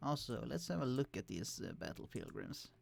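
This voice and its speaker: A man casually commenting on a strategy game he is playing, explaining units with many fillers and restarts, his voice kept quiet and turned down in the recording. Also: mid-range voice, very animated, moderate pace, gravelly. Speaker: let's play host. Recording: quiet voice, turned down to hide computer hum.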